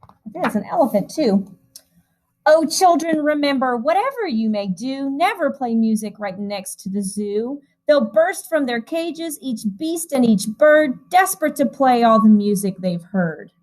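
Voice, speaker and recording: High-pitched woman reading aloud to children. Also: a little slow, low-quality recording. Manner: animated storytelling addressed to young children. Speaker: music teacher reading a picture book aloud. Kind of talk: expressive children's read-aloud with chatty asides.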